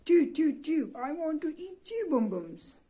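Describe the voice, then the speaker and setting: Woman voicing an eager, slow-talking gluttonous dinosaur puppet. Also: high-pitched, pitched above the performer's own voice. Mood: excited, greedy. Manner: repetitive, childlike, excited. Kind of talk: children's puppet show.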